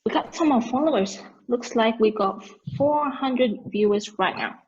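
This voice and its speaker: A woman's voice: a little high, normal speed, darkish tone, lightly acted. A woman as an easygoing play-by-play announcer. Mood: steady, informative. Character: easygoing young play-by-play announcer chasing football games. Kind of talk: amateur play table read. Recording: dull, lo-fi audio.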